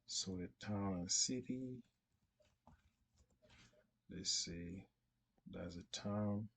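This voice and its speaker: A man narrating an unscripted step-by-step screen tutorial in a halting, repetitive way. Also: on the low side, vocal fry, fairly bright tone. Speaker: tutorial presenter.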